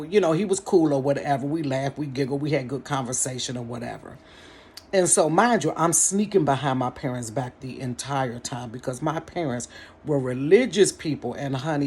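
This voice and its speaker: Woman telling a storytime vlog her bedroom, middle-aged, laughs a lot, talks right to the camera, faint room hiss. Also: rumbling low. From Cincinnati, United States. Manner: animated, laughs often, talks straight to the viewers. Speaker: storyteller vlogger. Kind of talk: casual storytime monologue to viewers.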